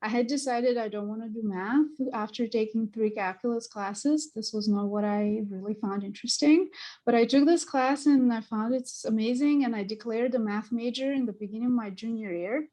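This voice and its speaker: A woman from Bulgaria, a mathematics professor telling her story on a panel, halting with many pauses and fillers. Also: on the high side, fairly even intonation, dark tone. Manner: halting, with many ums and uhs.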